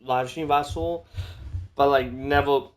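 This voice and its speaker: A man's voice: medium pitch, steady tone, unhurried. A man giving casual, rambling, informal commentary as he streams a game. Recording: faint game music behind.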